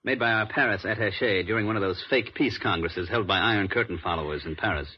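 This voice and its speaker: Man acting a low-voiced counterspy's right-hand man. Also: fast talker, lightly acted. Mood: matter-of-fact. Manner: matter-of-fact, briefing tone. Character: loyal, matter-of-fact male counterspy assistant. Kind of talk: 1950s radio drama acting. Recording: muffled, hissy old radio recording.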